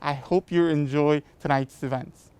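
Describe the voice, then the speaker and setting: Man, middle-aged, fairly high pitch, crisp resonant voice, formal sponsor speech, earnest and polite. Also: steady tone, unhurried, darkish tone, no room sound. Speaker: corporate sponsor representative. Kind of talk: prepared welcome speech.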